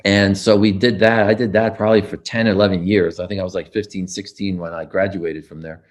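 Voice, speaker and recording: A man's voice: sits low, level tone, a little fast. An older man, recounting his childhood in an oral history interview, with frequent fillers and restarts. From United States.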